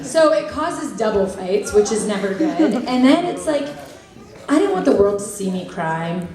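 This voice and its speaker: A young woman on a convention panel answering fan questions, bubbly and quick with jokes, laughing often. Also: a little high, sing-song, recorded from a distance, crowd noise. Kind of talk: casual convention Q&A. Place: large convention hall. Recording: echoey hall, heard from afar.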